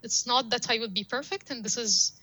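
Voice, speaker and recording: A woman whose first language is Arabic talks on a podcast as a guest, working through her points with examples and repeated phrases. Her voice is bright and sits a little high. The sound is dry and close to the mic. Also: a little fast, dry recording, low-quality recording. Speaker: guest data scientist. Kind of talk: podcast interview.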